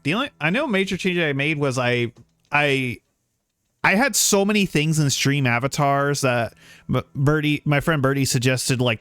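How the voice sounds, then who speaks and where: A man chatting casually as he streams a game, rambling and halting as he talks. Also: medium pitch, clear, resonant tone, no room sound. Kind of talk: casual livestream chat while playing a game. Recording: dry close mic, game music behind. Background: soft game music.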